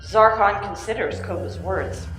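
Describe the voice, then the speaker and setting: A woman's voice: mid-range voice, a little slow, fairly bright tone. A woman reading scripted narration for a radio play. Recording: echoey live room, faint music.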